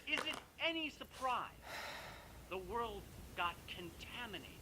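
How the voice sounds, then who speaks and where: An actor playing a folksy, theatrical male televangelist, preaching doom with drawn-out emphasis over noisy television sound. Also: sits high, sing-song. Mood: rhetorical, ominous. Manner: rhetorical questions, drawn-out emphasis. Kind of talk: theatrical TV sermon.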